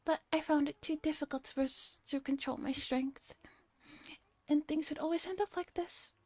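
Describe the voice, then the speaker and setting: A woman's voice: high-pitched, fairly even intonation, moderate pace, darkish tone, no room sound, natural voice. A woman voicing a clumsy, boastful young robot maid from a visual novel, making solemn, over-dramatic declarations. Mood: solemn boasting.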